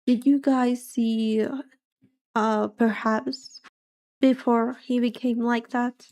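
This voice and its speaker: Soft-voiced slow British woman. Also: a little high, level tone. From Britain. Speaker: streamer. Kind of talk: casual livestream chat.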